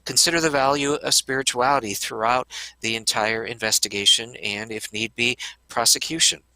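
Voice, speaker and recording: Earnest male conference speaker: tense, cutting tone, halting with fillers. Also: medium pitch, fairly even intonation, bright, forceful tone, no room sound, poor audio. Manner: earnest, with frequent hesitant fillers. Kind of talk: conference talk.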